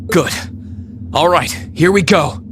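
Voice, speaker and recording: An actor playing an earnest, protective young swordsman in a video game, polite and steady in manner. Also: fairly high pitch, slow pace, slight background noise. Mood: reassuring.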